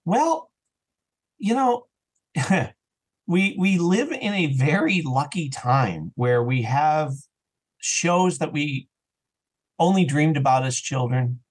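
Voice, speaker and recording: A man's voice: on the high side, expressive, slow, long pauses, husky. A man speaking as an interview guest on a podcast in a casual, opinionated, emphatic conversational way. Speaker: interview guest, audio drama writer and actor.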